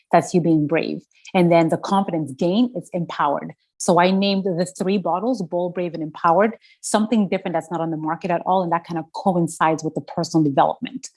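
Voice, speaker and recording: A woman's voice: on the low side, darkish tone. A woman entrepreneur answering podcast interview questions, earnest and passionate, with a strong voice. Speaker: fragrance founder, podcast guest.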